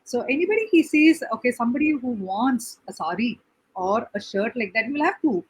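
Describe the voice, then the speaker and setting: A woman's voice: fairly high pitch, animated, slightly rough, rough recording. A woman from Tamil Nadu, earnestly describing her charity work and saying "okay" often as a guest on a chat show. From Tamil Nadu, India. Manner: earnest, fills pauses with "okay". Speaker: guest, NGO founder. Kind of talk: conversational interview answers.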